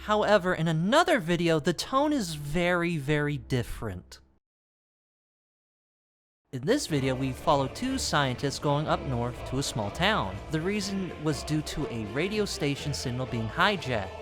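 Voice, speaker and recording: A young man narrating a scripted video essay with casual, wry asides and dramatic pauses. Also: fairly high pitch, sing-song, fairly bright tone. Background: music.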